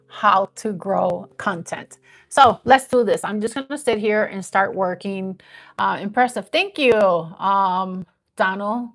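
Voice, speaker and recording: A woman's voice: medium pitch, creaky, fairly bright tone. A woman in her fifties chatting casually and earnestly on a live stream, rambling a little as she talks about growing her page. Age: 50s.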